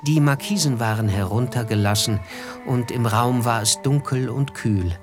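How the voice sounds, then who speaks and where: An actor playing a reflective, observant young gentleman narrating a literary German radio drama, with music behind him. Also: mid-range voice, moderate pace, natural voice.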